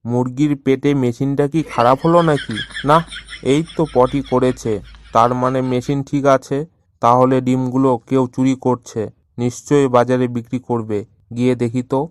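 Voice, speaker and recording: A man voicing a grumbling, easily outraged tiger who farms eggs in an animated cartoon. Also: mid-range voice, normal speed, clear, resonant tone, dry recording, close to an everyday voice. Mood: puzzled, suspicious. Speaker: egg seller in an animated fable. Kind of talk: cartoon voice acting.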